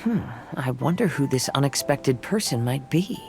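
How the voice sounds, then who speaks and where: An actor voicing a calm, composed young male wanderer who speaks in a soft, musing way. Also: mid-range voice, moderate pace, faint music behind, natural voice. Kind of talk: game voice acting.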